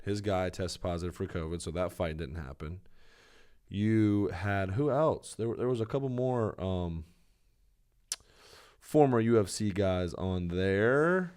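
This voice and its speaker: A man's voice: low-pitched, a little slow, a little mellow, smooth. A man co-hosting a podcast, chatting casually and explaining sports details. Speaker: podcast co-host.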